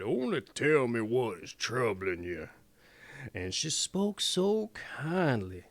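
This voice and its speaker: A man's voice: a little high, no echo. A man reading a fairy tale as host and storyteller, in a playful, theatrical and dramatic way.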